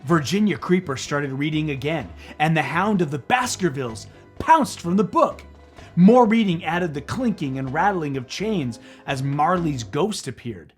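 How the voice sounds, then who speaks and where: A man's voice: a little high, animated, measured pace, soft background music. A man reading a children's book aloud as theatrical narration, dramatic and playful.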